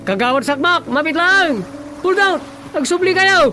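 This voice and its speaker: A grouchy village councilman with an Ilocano accent who will not budge. The delivery is grumbling and exclamatory radio comedy, set over clearly audible music. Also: extremely high pitch, lively intonation, normal speed, sharp tone, exaggerated voice. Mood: scolding. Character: grumpy, stubborn village councilman, comic lead.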